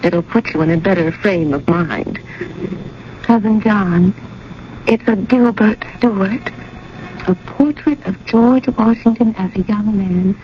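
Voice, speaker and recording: A woman's voice: medium pitch, slow, natural voice. A woman playing an eager, gossipy and insistent young society lady in a 1980s radio drama. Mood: teasing, excited. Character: eager, pushy engaged society woman, teasing her cousin. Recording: noisy, muffled old radio broadcast.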